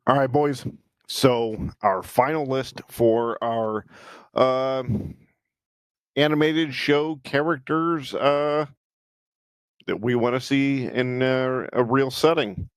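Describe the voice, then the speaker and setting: A man's voice: medium pitch, slow, clear, resonant tone, dry recording. Blunt male podcaster, dry sound. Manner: blunt, swears freely. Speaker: podcast co-host. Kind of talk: casual chat.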